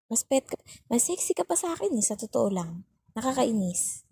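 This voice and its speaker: A woman acts out a young Filipino girlfriend in an intimate ASMR roleplay, fussing and scolding out of worry. Her voice is dark and breathy, recorded very dry and close. She is bossy and sulky yet caring, repeating her complaints for emphasis. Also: a little high, very animated, normal speed, dry recording, full character voice. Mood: annoyed, sulky. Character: nagging, worried, overthinking young girlfriend, bossy but caring.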